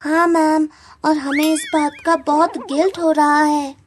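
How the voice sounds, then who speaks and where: A woman's voice: high voice, level tone, unhurried. A woman voicing an earnest, anxious young schoolchild in a children's cartoon. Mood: worried, guilty.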